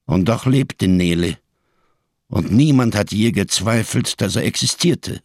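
An actor in a radio crime drama playing a giant, scarred, world-weary survivor who speaks in grand, bitterly ironic phrases. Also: male voice, lowish voice, expressive, darkish tone, no echo, studio quality. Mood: sardonic, grave. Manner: grandiose, bitterly ironic, slow rhetorical delivery.

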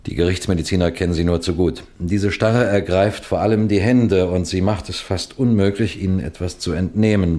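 An actor playing a seasoned, methodical detective chief in a 1960s German radio crime drama, lecturing with deliberate precision. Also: male voice, sits low, a little mellow, natural voice.

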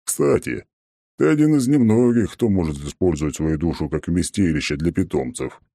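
Brief: male narrator doing a haughty ancient tree god, extremely low and dark-toned, drawling, dry close sound. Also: expressive, gravelly, no room sound, exaggerated voice. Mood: lecturing. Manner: haughty, mocking, slow and drawn-out. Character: smug arrogant male oak-tree god. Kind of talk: audiobook character voice.

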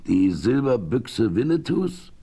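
An actor playing a righteous, authoritative homesteader in a German western radio play, speaking deliberately and sternly. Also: male voice, mid-range voice, big pitch swings, warm tone, breathy edge, full character voice. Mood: grave warning. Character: stern, righteous frontier homesteader laying down the law.

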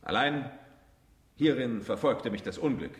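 An actor playing a proud military count under accusation, solemn and defensive, speaking formally and emphatically in a radio drama. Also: male voice, mid-range voice, animated, a little slow, close to an everyday voice. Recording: echoey hall with long reverb.

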